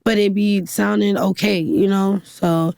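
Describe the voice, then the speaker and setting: A woman in her twenties with a raspy voice, chatting casually as a guest singer in an interview, with lots of fillers. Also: mid-range voice, a little slow, dry recording, clean studio sound. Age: 20s. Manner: relaxed, filler-heavy, laughs easily.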